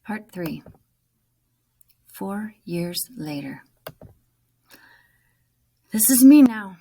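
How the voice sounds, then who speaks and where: Teacher lady doing a read-aloud, mid-pitched voice, expressive storytelling with punched key words. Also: drawn-out words, slightly rough. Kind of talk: children's book read-aloud, scripted first-person narration.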